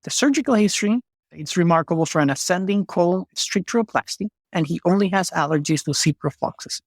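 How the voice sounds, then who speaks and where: A man with a slightly high voice works through clinical details in order, one item at a time. His voice is close and dry, with no room sound around it. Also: fast talker, no room sound. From Venezuela. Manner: methodical, listing clinical details. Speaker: cardiology fellow, guest. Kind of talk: case presentation on a podcast.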